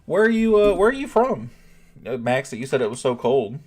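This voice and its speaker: A man's voice: mid-range voice, very animated, fairly quick. Chatty man answering live chat. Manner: chatty, reads chat aloud, often restarts and thinks out loud. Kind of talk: casual livestream chat.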